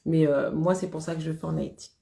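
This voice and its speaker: Woman host, lowish voice, chatty live-stream talk, laughs often, echoey room. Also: fairly even intonation, fast talker, creaky, dark tone. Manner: chatty, laughs often, greets viewers by name. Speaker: Vodou priestess hosting a live stream. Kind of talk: casual live-stream chat.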